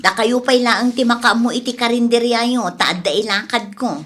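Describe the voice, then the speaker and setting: A woman's voice: fairly high pitch, normal speed, fairly bright tone. A woman playing a nosy, gossipy matchmaker who teases her elders in a radio comedy drama. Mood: teasing, prying. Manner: gossipy, teasing.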